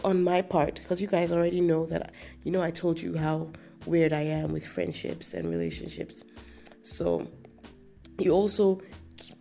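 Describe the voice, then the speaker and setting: A woman's voice: on the low side, creaky, warm tone. A woman hosting her own podcast, still getting over a cold with a chesty cough, telling a rambling story in a casual, chatty way with frequent restarts and laughs. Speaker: podcast host.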